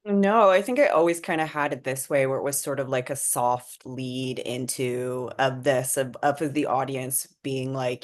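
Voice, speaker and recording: A woman screenwriter talking casually about her own work, with informal, halting phrasing. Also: rumbling low. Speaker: screenwriter and director. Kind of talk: casual unscripted talk about own script.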